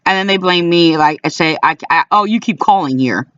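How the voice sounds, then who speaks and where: A man speaking informally as an aggrieved caller, in a halting, repetitive way, recording complaints about calls. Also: a little high, a bit bright, smooth, no echo, recorded from a distance, lo-fi sound. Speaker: caller recording own phone calls to a police dispatcher. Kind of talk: informal complaint to camera and on phone.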